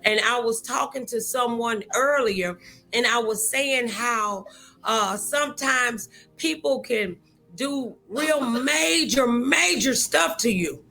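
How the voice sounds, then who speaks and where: A woman's voice: fairly high pitch, slow pace, gravelly, sharp tone. A woman hosting a talk show, speaking conversationally and emphatically, repeating words for stress.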